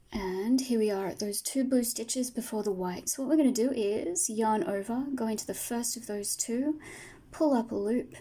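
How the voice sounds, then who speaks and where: A woman teaching crochet step by step, patient and soft-spoken, her voice tired and fading from a long day of talking. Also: fairly high pitch. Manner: soft, patient, talks through each stitch. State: losing her voice. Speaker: crochet tutor. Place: home table, indoors.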